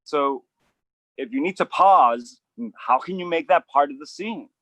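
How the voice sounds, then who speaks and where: A man hosting an outdoor acting coaching session, animated and emphatic, with frequent restarts. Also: fairly high pitch, a little slow, dry recording, low-quality recording.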